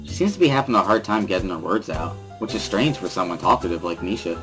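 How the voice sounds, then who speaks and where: Male narrator for an amateur visual novel reading, deep register, clear music bed behind him. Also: animated, quick pace. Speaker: amateur reader and narrator of a series. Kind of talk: first-person dramatic reading of a visual novel. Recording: prominent background music under voice. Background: instrumental music.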